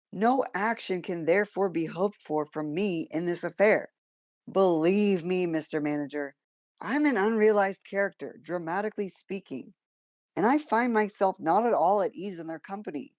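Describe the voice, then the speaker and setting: Woman acting a sulky resentful young son in a stage drama, mid pitch, dry close sound, formal and disdainful. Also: dry recording, lightly acted. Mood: aloof, bitter. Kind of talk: theatrical stage-play reading.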